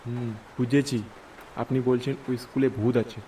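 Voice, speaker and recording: Man playing confident young sceptic, medium pitch. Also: level tone, moderate pace, dark tone, recorded from a distance, slight background noise, lightly acted, rough recording. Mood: sceptical, brisk. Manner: casual, dismissive, questioning. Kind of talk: horror cartoon voice acting.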